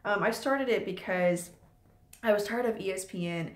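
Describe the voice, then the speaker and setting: Late 20s woman rambling on a live stream, lots of ums, middle pitch, kinda echoey room. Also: normal speed. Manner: chatty, loose and rambling with many ums. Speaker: channel host. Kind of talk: casual live-stream chat. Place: room at home.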